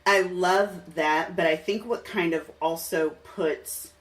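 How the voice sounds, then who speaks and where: A woman with a low-pitched voice hosts a casual interview podcast. She talks with open enthusiasm and sounds somewhat distant from the microphone. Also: steady tone, slow pace. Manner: enthusiastic, laughs often. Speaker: podcast host.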